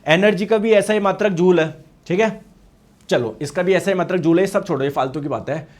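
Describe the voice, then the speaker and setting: A male teacher with a high voice and a hoarse throat, giving a lively science lecture. He poses questions and answers them himself, and he gives every key term twice, once in Hindi and once in English. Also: fairly quick. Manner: asks and answers his own questions; addresses students as friends. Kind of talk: online exam-prep class.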